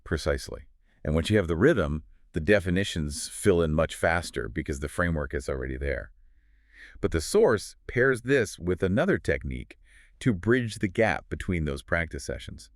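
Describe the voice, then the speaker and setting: Man co-hosting a podcast, very low voice, calm and explanatory. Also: moderate pace, no room sound, studio quality. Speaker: podcast co-host. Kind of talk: scripted podcast conversation.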